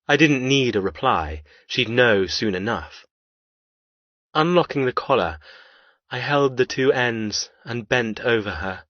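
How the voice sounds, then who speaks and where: A man narrates a novel in the first person with a lowish voice and a very slow, deliberate pace. The recording is very dry and a little dull, with no room around the words. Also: no echo. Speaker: audiobook narrator.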